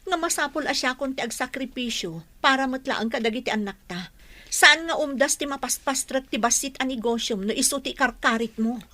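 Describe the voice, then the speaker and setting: A woman's voice: high voice, brisk, pushed and bright. A woman playing a self-sacrificing wife and mother, earnest and imploring, in a radio drama. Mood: defiant, exasperated.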